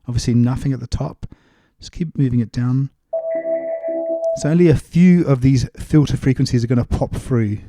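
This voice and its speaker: A man with a mid-pitched voice presents a music production tutorial in a casual way. He explains each step in turn, with music clearly audible around his talk. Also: moderate pace, mellow, no echo. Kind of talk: casual software tutorial narration.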